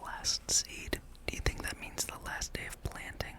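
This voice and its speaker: Whispering ASMR gamer, breathy and unvoiced. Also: moderate pace, bright, forceful tone. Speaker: gaming ASMR host. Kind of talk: whispered ASMR gameplay ramble.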